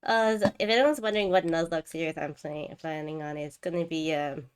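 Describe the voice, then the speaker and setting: A woman's voice: low-pitched, fairly quick, clear, resonant tone. A woman hosting a game livestream, chatting casually and rambling as she thinks aloud. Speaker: game streamer, host.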